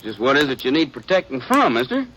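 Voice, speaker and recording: An actor playing a weary, blunt small-town deputy in an old-time radio western, with a folksy, sarcastic way of talking. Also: male voice, mid-range voice, very animated, fast talker, sharp tone. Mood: matter-of-fact. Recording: noisy vintage radio recording.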